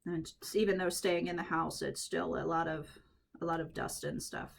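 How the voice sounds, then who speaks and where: A woman at home, a little croaky from allergies, chatting through a head-wrap tutorial and thinking aloud as she works. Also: deep voice, steady tone, moderate pace, a little hiss or hum. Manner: chatty, thinks aloud while working, frequent restarts. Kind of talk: casual hands-on tutorial.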